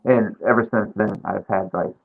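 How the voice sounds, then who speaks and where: A man with a low, gravelly voice talks in a plain, matter-of-fact way. He sounds tinny, as if heard over a phone line, and his voice has plenty of fry. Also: steady tone, moderate pace. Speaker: podcast guest. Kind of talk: casual chat.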